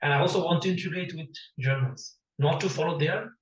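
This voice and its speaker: A man from Bangladesh, a non-native English speaker, interviewing a guest and asking questions in halting phrasing. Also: fairly high pitch, a little hiss or hum. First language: Bengali. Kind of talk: casual interview. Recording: echoey room from far off.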